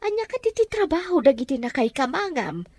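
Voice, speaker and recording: A woman plays a proud mother from a provincial farm family, earnest and quick to take offense. Her voice is high and very lively, rising and falling sharply. The recording is dry and close, like an audio drama. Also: dry recording. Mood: indignant. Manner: earnest and indignant.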